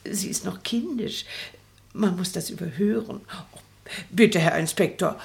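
A woman's voice: fairly low pitch, measured pace, gravelly. A woman playing a scatterbrained, courteous old lady in a radio play, chatty and flustered, cooing as she talks. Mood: doting, chatty. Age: older. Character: chatty, scatterbrained, polite old lady doting on her pet. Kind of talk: 1960s German radio play acting. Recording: noisy old radio recording with clicks.

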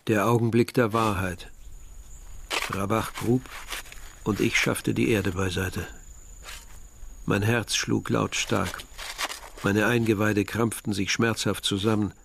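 An actor playing a young villager who tells his story in a measured, literary way, as narration in a radio drama. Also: fairly low pitch, steady tone, creaky, fairly bright tone, slight background noise, exaggerated voice. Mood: tense. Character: young Algerian villager narrating a grim search for remains. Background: music.

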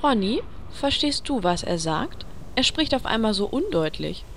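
A woman's voice: a little high, big pitch swings, moderate pace, slight background noise. An actress playing a bossy, exasperated guild adventurer woman in a comedic fantasy radio play, commanding and sarcastic.

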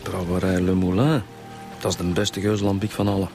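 A wry Flemish police inspector in a naturalistic radio drama. He talks dryly and casually in a lowish voice, with music playing behind him. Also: little pitch movement, normal speed, darkish tone. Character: wry, jokey male homicide detective inspector. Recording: music under the dialogue.

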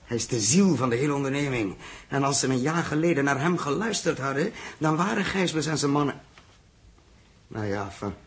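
An actor plays a loyal, businesslike male crewman in a vintage science fiction radio play. His voice sits at an ordinary male pitch. He talks in a clipped, conversational way with a wry edge. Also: expressive, fairly quick, close to an everyday voice. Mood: earnest. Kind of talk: 1960s radio drama acting.

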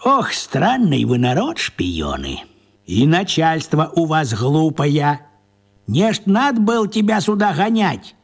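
Radio play role: folksy old country carter, high-pitched and very animated, rustic, unhurried philosopher. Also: male voice, exaggerated voice. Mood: grumbling, dismissive. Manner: rustic folksy dialect speech.

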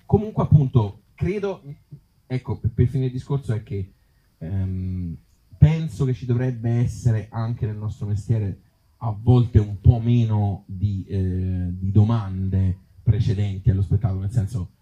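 A man with a Tuscan accent talking informally at a live event as an actor and author, in halting, circling phrases with blunt joking asides. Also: lowish voice, mellow, muffled recording. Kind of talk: informal live talk with the audience. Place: outdoor evening event in an olive grove.

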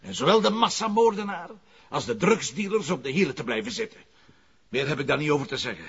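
Gravelly, stubborn Flemish police commissioner. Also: male voice, fairly high pitch, very animated, fast, a bit bright. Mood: defiant. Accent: Flemish Belgian. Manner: blunt, dry, sarcastic. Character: stubborn, sardonic middle-aged male police commissioner. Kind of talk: TV crime drama acting. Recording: muffled audio with faint noise.

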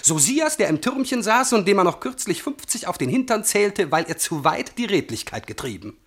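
An actor playing an insolent, bullying impostor servant in a classical verse radio comedy, declaiming imperiously and emphatically. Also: male voice, sits high, big pitch swings, normal speed, husky, exaggerated voice. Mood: boastful.

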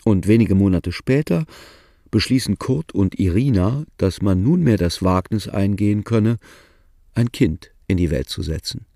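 Low gravelly male radio narrator. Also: lively intonation, mellow, no echo. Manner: measured, sober storytelling with dry irony. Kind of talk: scripted radio drama narration.

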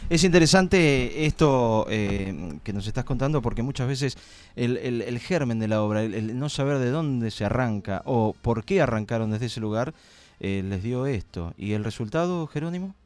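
An Argentine man hosting an informal live radio interview, talking in a halting, conversational way and repeating himself. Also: mid-range voice, expressive, a little mellow. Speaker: radio host interviewing theatre guests.

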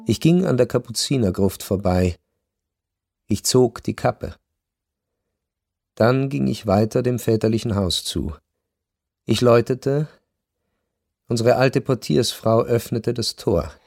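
An actor playing a returned soldier son who narrates a radio play in measured, reflective, deliberate sentences. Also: male voice, medium pitch, creaky, a little mellow, no echo, clean studio sound. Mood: somber, reflective.

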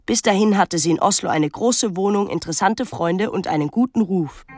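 An actress playing an observant young island woman, narrating a literary radio drama in a measured, lyrical way. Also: mid-range voice, a little mellow, natural voice. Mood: reflective. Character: observant, reflective young island woman recalling her life. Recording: music under the voice. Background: music.

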